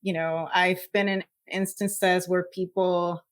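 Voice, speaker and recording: A woman's voice: on the low side, flat delivery, slow. A woman from Puerto Rico with a Puerto Rican accent, giving reflective, emphatic answers as an interview guest. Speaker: podcast guest, scientist.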